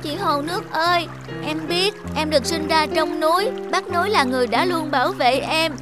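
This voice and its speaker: A woman's voice: high voice, moderate pace, over loud music, natural voice. A woman voicing an earnest, emotional young stream spirit who yearns and pleads, in a children's cartoon fable. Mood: sad, pleading.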